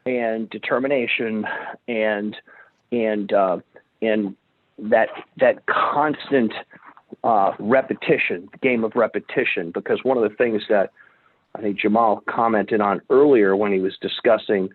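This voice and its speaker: A man's voice: fairly low pitch, fairly even intonation, unhurried. A man in his fifties telling his career story as a guest, rambling with many restarts. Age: 50s. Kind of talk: storytelling interview. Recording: dry tinny phone-like line.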